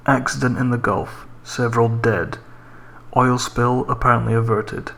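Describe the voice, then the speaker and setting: A man's voice: medium pitch, fairly even intonation, normal speed, vocal fry, a bit bright. A man reading a scripted horror story as a narrator, with music behind him. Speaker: creepypasta narrator.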